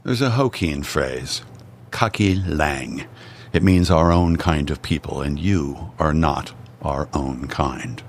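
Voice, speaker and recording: A man's voice: rumbling low, takes it slowly, slight background noise, heavily acted. A man playing a cold, disapproving rich mother. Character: stern wealthy mother disapproving of son's partner.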